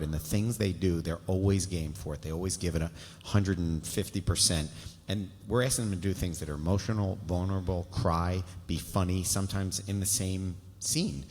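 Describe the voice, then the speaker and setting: A man's voice: low-pitched, darkish tone. A man, a writer on a panel, speaking off the cuff in an earnest and emphatic way. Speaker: TV show creator and writer on a panel. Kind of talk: unscripted panel remarks. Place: festival stage in a large hall before an audience. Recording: echoey hall, stage PA.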